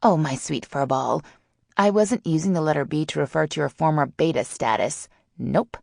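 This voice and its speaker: A mischievous teenage girl with a smart mouth, acted by a woman narrator talks in a low, creaky voice. She piles on teasing, mock-haughty sarcasm in a storybook romance. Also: normal speed, darkish tone, dry recording, clean studio sound.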